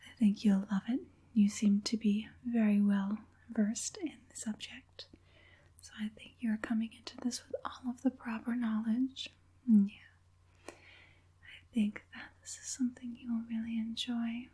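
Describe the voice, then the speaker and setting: An evil witch puppet master played by a woman speaks in a breathy, slow whisper. She is patient and coaxing, soothing and deliberate as she draws the listener in, in the style of an ASMR roleplay. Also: on the high side, level tone, mellow. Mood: soft persuasion.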